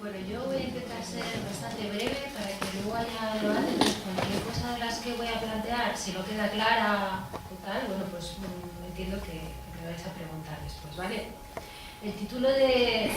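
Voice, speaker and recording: A woman with an accent from Spain gives an informal, explanatory public talk in a conversational way. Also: medium pitch. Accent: Spanish from Spain. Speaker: speaker at a public event. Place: indoor hall at a public event. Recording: very echoey hall, distant mic, noisy.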